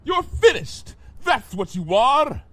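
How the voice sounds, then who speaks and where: A man's voice: very high voice, big pitch swings, slow, a bit bright, dry recording, heavily acted. A man playing a vengeful monster villain in an audio story, grandiose and menacing, with pompous, florid melodrama. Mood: raging. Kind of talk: dramatized fan fiction audio reading.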